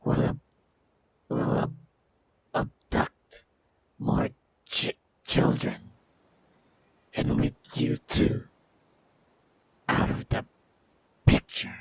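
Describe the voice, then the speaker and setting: A man voicing a brutal, vengeful feral vampire clan leader in a fantasy audio roleplay, with a growling, breathy rasp and halting, broken phrases. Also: dry recording. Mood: menacing, vengeful.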